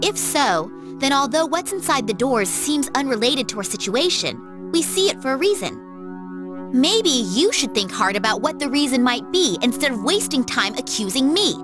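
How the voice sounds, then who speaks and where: A woman playing an outspoken, excitable young female character in an anime video game. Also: high voice, moderate pace. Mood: defensive. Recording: music behind the voice.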